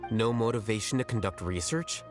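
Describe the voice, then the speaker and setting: A man's voice: on the low side, brisk, lightly acted. A man playing a reserved, blunt scholar-official in a video game, with music behind his voice. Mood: cool, probing. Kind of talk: scripted video game dialogue.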